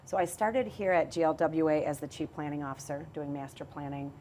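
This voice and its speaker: A middle-aged woman, an executive telling her career story in a podcast interview, measured and often pausing to restart. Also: deep voice, a little mellow, a little hiss or hum. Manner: measured, with frequent hesitations and restarts. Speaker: water authority chief executive.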